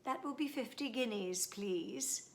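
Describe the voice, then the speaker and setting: A woman's voice: on the high side, unhurried, a little hiss or hum. A woman voicing a gleeful, pompous male dentist in a storybook poem reading, gloating and grand.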